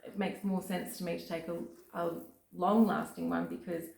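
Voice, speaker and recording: A woman with a croaky voice, hosting a rambling, casual chat full of fillers. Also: lowish voice, flat delivery, moderate pace, darkish tone, roomy sound, poor audio. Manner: chatty, rambling with many fillers. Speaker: host.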